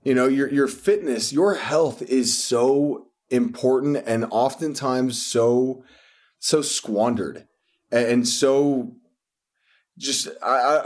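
Guy guesting as a fitness coach, mid-pitched, earnest and rambling, restarts a lot. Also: a little mellow. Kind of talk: casual interview storytelling.

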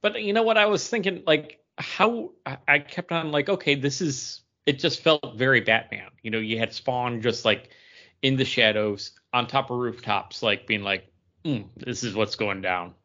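A male podcast co-host speaks in a casual conversational style. His voice sits at an average pitch. The sound is slightly dull, like a modest home recording. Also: normal speed, bright, forceful tone. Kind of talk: casual comic book discussion.

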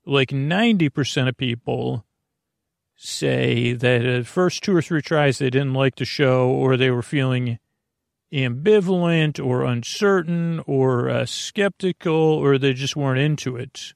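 A man with a creaky, gravelly voice hosting a sleep podcast in a meandering bedtime monologue, halting, absent-minded and self-deprecating. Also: mid-range voice, no echo, studio quality.

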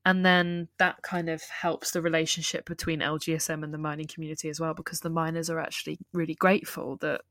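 Woman podcast co-host, lower voice, dry close mic, lively chatty recaps. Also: fast, few pauses, dry recording. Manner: animated retelling with laughs. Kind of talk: casual film discussion chat.

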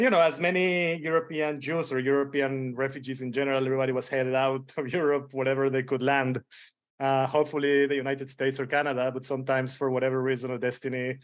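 Guy in his 40s w/ spanish accent, podcast guest chatting, mid pitch. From Mexico and Israel. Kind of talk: casual interview conversation.

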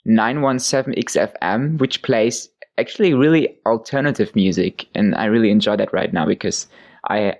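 A man's voice: on the low side, normal speed, creaky. Young guy, giggly and sleepy-voiced, easygoing interview guest. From Germany. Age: young adult. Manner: giggly, restarts words, chatty. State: sleepy, tired voice. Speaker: guest singer. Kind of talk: casual interview chat.

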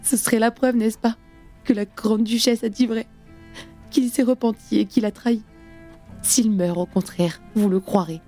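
A woman's voice: on the high side, steady tone, normal speed, mellow, soft background music, natural voice. An actress playing an anguished, defiant young revolutionary woman in a radio play, grave and intense. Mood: bitter, agitated. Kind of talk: French radio play acting.

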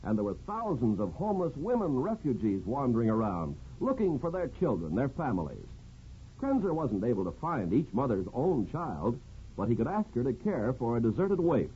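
A man's voice: medium pitch, wildly swooping pitch, moderate pace, warm tone. A man narrating a script as a 1950s radio announcer, earnest and solemn. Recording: dull old radio with hiss.

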